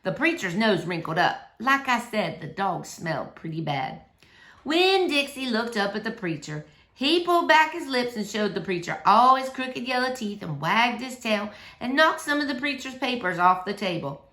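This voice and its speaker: A woman narrating a children's novel aloud in an expressive, animated storytelling manner for children. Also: a little high, moderate pace. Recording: roomy, echoey indoor sound.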